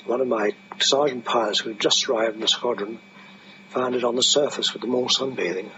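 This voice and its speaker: An older man, a veteran being interviewed, recalls his service in a halting, hesitant manner. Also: mid-range voice. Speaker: air force veteran interviewee. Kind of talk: reminiscing in an interview. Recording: noisy, muffled archive interview tape.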